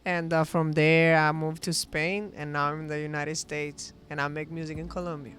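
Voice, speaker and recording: A young man whose first language is Albanian talks loosely as an interview guest, dotting his sentences with fillers. His voice sits high for a man, and soft music plays faintly behind him. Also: normal speed. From Greece. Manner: relaxed, many fillers like "like" and "uh". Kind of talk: casual interview chat.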